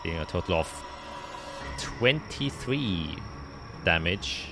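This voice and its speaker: A man's voice: low voice, lively intonation, slow, over music. A man narrating a tabletop roleplaying game as game master, describing scenes and calling out dice results.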